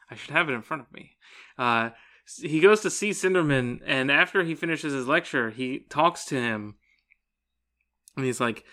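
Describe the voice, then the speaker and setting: A man's voice: fairly high pitch, pushed and bright. A man co-hosting a podcast, talking casually about a book and retelling it with acted-out quotes. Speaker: podcast co-host.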